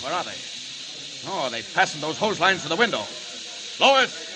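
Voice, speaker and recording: A man's voice: fairly high pitch, big pitch swings, moderate pace. A man playing a bold, fearless superhero in the style of a 1940s radio serial. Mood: defiant, triumphant. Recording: noisy old radio recording, hissy.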